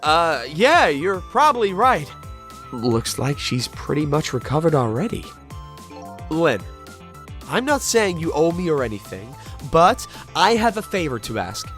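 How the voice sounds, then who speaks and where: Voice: medium pitch, sing-song, unhurried, a bit bright, faint music behind. An actor playing a bewildered, polite amnesiac spirit with dry wit in a video game fan dub, hesitant and given to exaggerated surprise. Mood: earnest. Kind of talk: dramatic fan dub with inner monologue.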